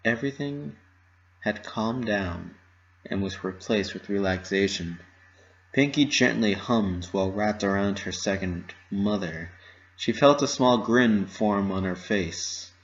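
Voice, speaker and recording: Slow, gravelly man reading a story. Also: fairly low pitch, slight background noise. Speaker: story narrator. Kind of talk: dramatic reading, scripted narration. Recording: slightly muffled lo-fi recording.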